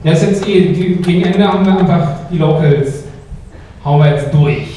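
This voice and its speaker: Male co-host, high voice, relaxed announcing, distant echoey bar with clear noise. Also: moderate pace. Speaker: slam host. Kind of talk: casual stage hosting. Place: bar stage with audience. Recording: echoey room from far off.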